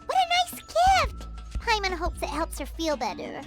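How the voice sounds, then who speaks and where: A woman's voice: wildly swooping pitch, unhurried, soft background music, heavily acted. A woman voicing a tiny, childlike fairy companion in a video game, perky, blunt and cutesy. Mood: excited. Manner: squeaky, cutesy.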